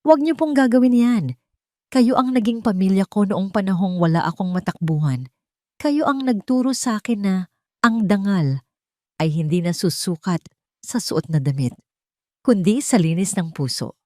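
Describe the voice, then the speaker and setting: A woman voicing a dignified, resolute female executive in an audio story, speaking formally and with measured authority. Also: mid-range voice, animated, darkish tone, no room sound, clean studio sound, close to an everyday voice. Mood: tender, earnest.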